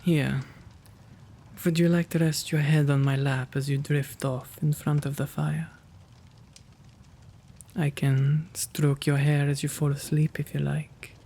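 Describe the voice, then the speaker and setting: A man voicing a kind, courteous gentleman vampire in a soothing ASMR roleplay, gentle and tender, often slipping into a whisper. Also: on the high side, little pitch movement, measured pace, vocal fry, pauses often. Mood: tender, inviting.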